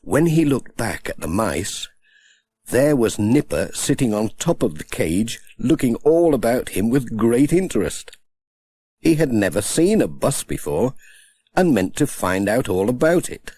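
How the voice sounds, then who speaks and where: A man's voice: medium pitch, big pitch swings, a little slow, warm tone, husky. A man narrating a children's story as an audiobook reader.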